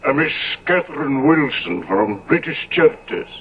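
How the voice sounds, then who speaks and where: An actor in a 1970s radio drama playing a formal, deferential manservant, composed and clipped. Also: male voice, medium pitch, big pitch swings, moderate pace, lightly acted. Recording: tinny, noisy vintage radio recording.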